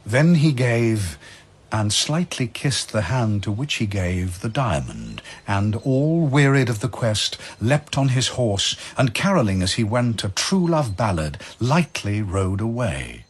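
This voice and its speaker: A man narrating an old verse tale as scripted drama, solemn and deliberate. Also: on the low side, big pitch swings, slightly rough.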